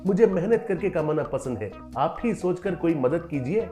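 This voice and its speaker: Man playing humble high-voiced biryani seller. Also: expressive, normal speed, mellow, echoey room, faint music behind, close to an everyday voice. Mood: earnest, humble.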